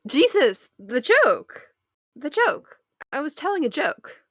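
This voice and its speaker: A woman's voice: high voice, sing-song, slow pace, dry recording, clean studio sound, exaggerated voice. A woman acting an affectionate, teasing wife in a naturalistic audio drama table read, conversational and playful. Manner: conversational, playful, explains with examples. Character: affectionate, teasing wife consoling and humoring her partner.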